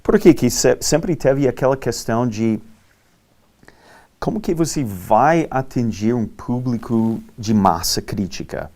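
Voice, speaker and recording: A middle-aged man from Texas with a Texan accent speaking Portuguese as a non-native, a panel guest who talks haltingly with many fillers and restarts. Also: mid-range voice, expressive, a little mellow. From Texas, USA. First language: English. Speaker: panel guest, land investment manager. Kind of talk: panel discussion.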